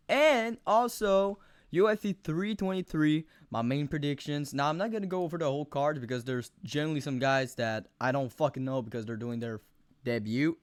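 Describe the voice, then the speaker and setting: A young man with a thick Quebec French accent giving rambling, profane fight predictions on camera, full of fillers and sudden animated outbursts. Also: medium pitch, clean tone, no room sound, studio quality. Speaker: MMA video host. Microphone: camera mic, close.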